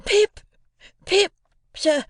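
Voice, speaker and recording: A man voicing a small, frightened, polite village boy in a storybook, meek and halting. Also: no echo, studio quality.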